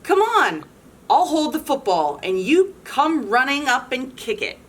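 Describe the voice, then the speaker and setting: A woman's voice: sits high, big pitch swings, a little slow, pitched above the performer's own voice, exaggerated voice. Woman putting on a bossy sing-song little girl. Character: bossy scheming young girl.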